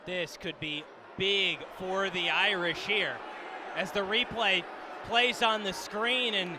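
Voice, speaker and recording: A man with a high voice works as color commentator on a live radio sports broadcast. He reacts to and explains the game with clear venue noise behind him. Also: steady tone, takes it slowly, crowd noise.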